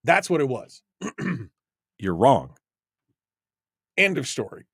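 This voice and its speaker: A man's voice: medium pitch, sing-song, slow, fairly bright tone, breathy edge, dry recording, clean studio sound. An American man hosting an opinionated call-in radio show, speaking bluntly and emphatically and repeating points for stress. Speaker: radio talk show host.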